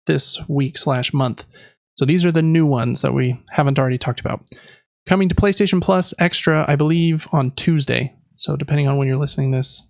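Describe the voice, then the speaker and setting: A man's voice: on the high side, creaky, no room sound. A man hosting a casual gaming podcast, reading through news lists in a chatty, informal way with personal asides. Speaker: podcast host.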